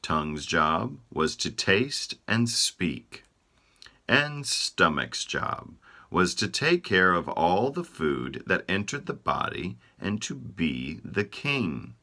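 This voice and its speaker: A man reading a children's folktale aloud, slow and expressive. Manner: slow, lively storytelling, voicing body parts in raised pitch. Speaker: storybook reader.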